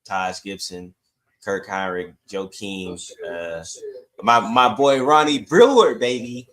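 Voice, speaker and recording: A man's voice: on the low side, slow pace, a bit bright. A man co-hosting a sports podcast, chatting casually in slangy, emphatic bursts.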